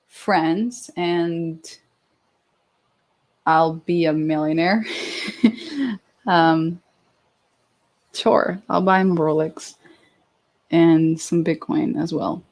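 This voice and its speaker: Young woman streamer, super chill and slow, giggly, rambling through chat questions. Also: fairly low pitch, dark tone. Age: 20s. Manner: giggly, chatty, with many fillers and restarts. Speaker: livestream host answering viewer questions. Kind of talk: casual live chat.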